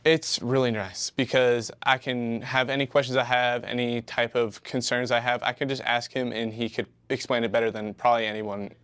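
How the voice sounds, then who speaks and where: A man's voice: mid-range voice, flat delivery, normal speed, hardly any pauses, fairly bright tone, smooth. A teenage male student speaking eagerly and a bit ramblingly about what he is learning. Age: teens. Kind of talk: interview soundbite.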